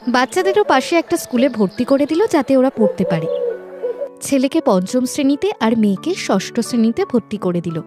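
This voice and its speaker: A woman's voice: sits high, big pitch swings, fairly quick, music in the background. A woman narrator reading a scripted cartoon story.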